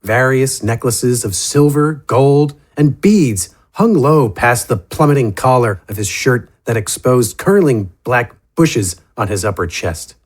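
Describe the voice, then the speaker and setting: A man narrating a fantasy story from a script in a measured, descriptive storytelling manner. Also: medium pitch, lively intonation.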